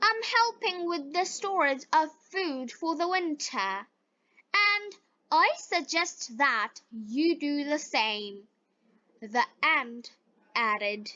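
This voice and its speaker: A Bengali-speaking woman teaching English, reading phrase by phrase with deliberate enunciation in a repeat-after-me lesson style. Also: high-pitched, lively intonation, pushed and bright, muffled recording. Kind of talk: slow read-aloud English lesson with repeat-after-me drills.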